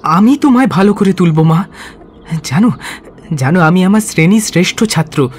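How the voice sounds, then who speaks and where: A man's voice: sits high, animated, moderate pace, a little hiss or hum. Man acting earnest young son with swooping voice. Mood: eager, anxious. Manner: emotional, earnest, swinging to terse. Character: grieving young male medical student, devoted son, earnest. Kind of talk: radio drama acting.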